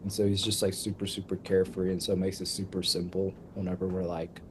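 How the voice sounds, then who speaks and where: A man's voice: deep voice, completely flat delivery, noisy background. A young man, a band member, chatting eagerly and upbeat in an interview. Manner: upbeat, eager, talks in quick bursts. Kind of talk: casual interview chat.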